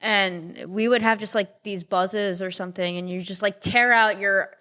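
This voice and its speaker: A woman's voice: mid-range voice, steady tone, normal speed, sharp tone. A woman in her thirties, a podcast guest from North Carolina, chatting casually and laughing easily. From North Carolina, USA. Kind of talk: casual chat.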